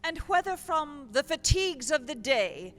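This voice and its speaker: A woman doing measured scripted narration for a radio play production. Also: sits high, very animated, a bit bright, slight background noise.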